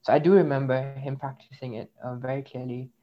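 A man's voice: medium pitch, fairly even intonation, fairly quick. Young guy from india, grew up in the uae, thoughtful reflective storytelling, kinda dull lo-fi sound. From India, raised in the UAE. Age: young adult. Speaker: interview guest, graduate student. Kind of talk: personal testimony in conversation.

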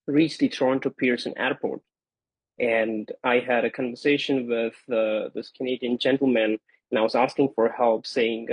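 A man in his twenties whose first language is Gujarati, a podcast guest giving earnest, grateful answers with frequent pauses and repeats. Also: medium pitch, husky, no room sound, rough recording. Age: 20s. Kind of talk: conversational interview answers.

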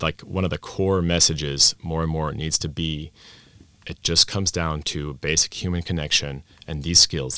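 Give me a very deep-voiced language teacher from Austria, speaking reflectively in a dry, close recording. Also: male voice, fairly bright tone, smooth, no room sound. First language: English and German bilingual. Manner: reflective, many restarts and self-corrections. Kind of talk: conversational podcast interview.

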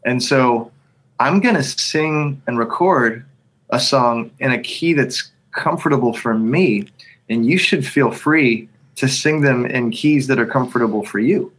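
A man's voice: mid-range voice, a little slow, a bit bright, low-quality recording. Rambling man musing about worship songs. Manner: thinks aloud with many restarts, sings snippets to illustrate. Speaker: worship songwriter and leader. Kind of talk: podcast conversation.

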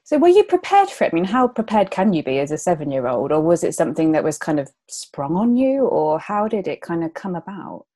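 A woman interviewing conversationally, putting questions in a gentle, tentative way. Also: on the low side, big pitch swings, brisk, few pauses. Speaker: podcast interviewer.

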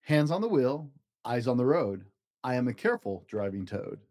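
Guy reading as a happy careful toad from a picture book, sing-songy, pitch bouncing around. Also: very animated, moderate pace, darkish tone. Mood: cheerful, eager. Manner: sing-song, rhythmic. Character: cheerful, careful toad who loves driving. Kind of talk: children's storybook read-aloud.

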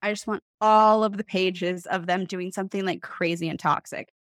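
A woman author in casual podcast chat, telling things in an animated way with lots of "like" and acted-out quotes. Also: lowish voice, moderate pace, dry recording, clean studio sound. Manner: animated storytelling, acts out imagined quotes, heavy use of "like". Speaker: author guest on a book podcast.

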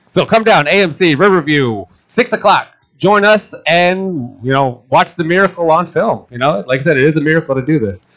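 A man's voice: a little high, normal speed, hardly any pauses, fairly bright tone. A man from Florida hosting a casual podcast, laughing often and swearing freely. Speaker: podcast host.

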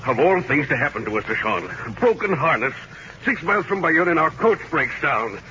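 An actor playing a fussy, pompous French prime minister, indignant and exasperated, in a 1940s radio comedy. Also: male voice, on the high side, big pitch swings, moderate pace, pushed and bright. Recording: muffled, noisy 1940s radio broadcast.

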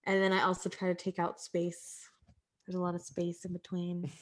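A woman's voice: fairly low pitch, completely flat delivery, normal speed. A woman hosting a comedy podcast, chatting casually with slangy, swearing talk. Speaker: podcast host and editor.

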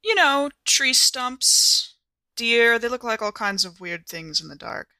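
A woman's voice: on the high side, expressive, measured pace, sharp tone, dry recording. A woman playing a tabletop game, improvising casually in character with a wry, quipping manner.

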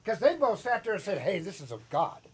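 A man's voice: high-pitched, big pitch swings, a little fast, husky. A man from Chicago with a Chicago accent, preaching a sermon as pastor in a conversational, teasing way and asking the congregation questions. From Chicago, Midwest US. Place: church sanctuary.

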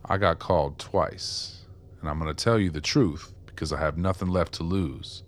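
An actor playing a weary, guilt-ridden older ex-informant in a radio drama, gruff and plainspoken. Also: male voice, low-pitched, moderate pace, natural voice. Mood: earnest.

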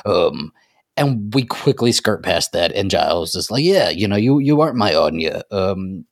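A man's voice: sits low, big pitch swings, normal speed, no echo, clean studio sound. A man co-hosting a podcast, chatting casually and emphatically as a fan, with some swearing. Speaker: podcast co-host.

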